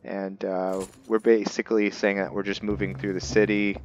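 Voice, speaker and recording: A man's voice: fairly low pitch, little pitch movement, moderate pace, dull audio, a little hiss or hum. A man hosting a gaming roleplay video, narrating his playthrough in a casual commentary style.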